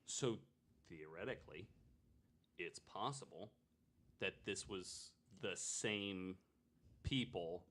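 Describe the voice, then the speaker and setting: A man's voice: sits low, slow, pauses often, a bit bright. A man on a podcast panel chatting casually and thinking aloud, informal and a bit wry. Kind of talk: casual chat, speculating aloud.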